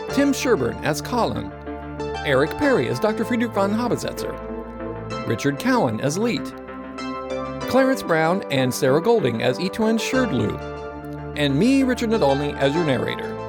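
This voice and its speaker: Flustered narrator, animated delivery, scripted comic credits, music underneath. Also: male voice, a little high, moderate pace. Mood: wry. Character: flustered, deadpan male show narrator.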